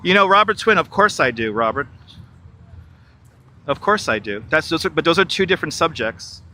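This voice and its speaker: A man's voice: fairly high pitch, expressive, normal speed, sharp tone. A middle-aged man, walking outdoors by a river on a live stream, chatting with viewers and reading their comments aloud. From New York. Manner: chatty, repeats himself, reads and answers viewer chat. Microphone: wireless clip-on mic.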